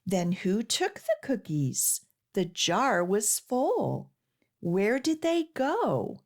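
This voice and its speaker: Very expressive woman reading to young children. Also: mid-range voice. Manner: expressive, deliberate phrasing with sing-song rhyme. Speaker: children's storytime host reading picture books. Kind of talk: read-aloud storytelling for young children.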